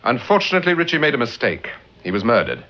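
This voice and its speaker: On a dull, muffled old radio recording, an urbane English gentleman sleuth of middle age. His Received Pronunciation is polite and understated, with a lively rise and fall as he calmly takes charge. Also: medium pitch, quick pace. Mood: polite, measured. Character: suave, unflappable middle-aged English amateur detective and novelist. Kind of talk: mid-century BBC radio drama acting.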